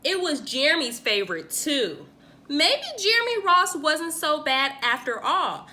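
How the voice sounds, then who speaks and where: A woman reading a children's picture book aloud in an expressive, theatrical way, like a teacher acting it out for young pupils. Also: high-pitched, measured pace. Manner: theatrical, acts out the dialogue.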